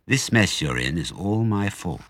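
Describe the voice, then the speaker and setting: A man voicing a confident, crafty father fox in a storybook, brisk, courteous and conspiratorial. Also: low voice, sing-song, a bit bright, husky, no echo, exaggerated voice. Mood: assured, courteous.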